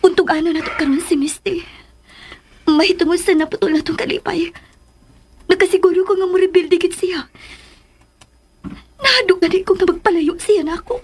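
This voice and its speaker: An actress playing an anxious, tender widowed mother in love, with a Filipino accent and hesitant, breathy, emotional delivery in a radio drama. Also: extremely high pitch, normal speed, long pauses, a little mellow, a little hiss or hum. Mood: fearful, worried. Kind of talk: Philippine radio drama acting, sentimental romance.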